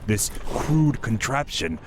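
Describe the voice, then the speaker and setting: An actor playing a deferential necromancer of a dark cult in a fantasy game, formal and explanatory. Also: male voice, medium pitch, very animated, slow pace, background noise. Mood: servile, grave. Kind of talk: fantasy video game acting.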